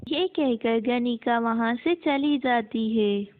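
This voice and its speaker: A woman's voice: on the high side, takes it slowly, dry recording. A woman narrating a scripted children's moral story as the storyteller. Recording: muffled, narrow phone-like sound.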